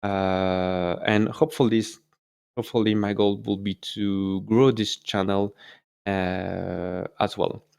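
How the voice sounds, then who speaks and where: A man's voice: on the low side, level tone, takes it slowly, creaky, darkish tone, clean tone. Hesitant man with a French accent. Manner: hesitant, many fillers and self-corrections. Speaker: YouTube host. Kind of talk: casual solo video talk.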